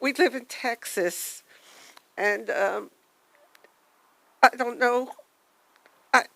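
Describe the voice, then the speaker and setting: An older woman with a soft voice giving a halting, heartfelt tribute at a memorial gathering. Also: fairly high pitch, creaky, no echo, poor audio. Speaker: memorial speaker.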